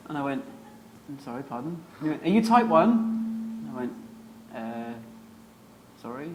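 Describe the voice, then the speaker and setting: A male comedian on a live stage telling a funny anecdote in a deadpan way. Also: medium pitch, normal speed, slight background noise, rough recording. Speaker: comedian guest.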